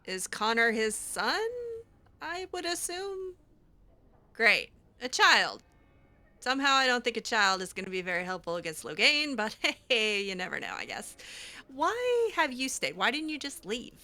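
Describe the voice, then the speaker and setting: Female gameplay roleplayer, bright higher voice, sassy and blunt, casual improvised delivery. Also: sing-song, a little slow. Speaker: gameplay roleplayer voicing own character's replies.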